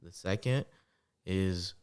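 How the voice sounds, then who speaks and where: A man in his twenties, a guest entrepreneur, telling stories in a relaxed, casual podcast interview with lots of fillers. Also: low-pitched, slow, clean tone. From United States, Salvadoran family. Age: 20s. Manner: relaxed storytelling with many fillers.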